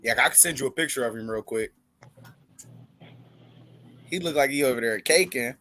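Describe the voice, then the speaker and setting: A man's voice: medium pitch, moderate pace, sharp tone. A man in casual, slangy banter with the others on a video call. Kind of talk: casual chat. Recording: video call with clear background noise.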